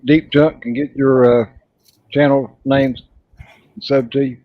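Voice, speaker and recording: A man's voice: mid-range voice, level tone, unhurried, no room sound. A man co-hosting a casual live chat, easygoing and hesitant with lots of filler. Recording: dry, dull lo-fi audio.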